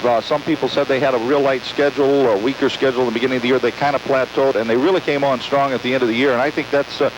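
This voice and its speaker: A man doing live radio play-by-play commentary of a high school basketball game. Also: fairly even intonation, racing, hardly any pauses. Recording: noisy amateur recording of radio broadcast.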